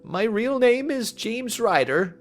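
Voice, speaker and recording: A man voicing a small, nervous, frightened male attendant in a storybook reading, with a high, thin, cracking and timid voice. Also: sing-song, slow, soft background music, full character voice. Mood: uneasy, reluctant. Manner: breathless, timid, deferential.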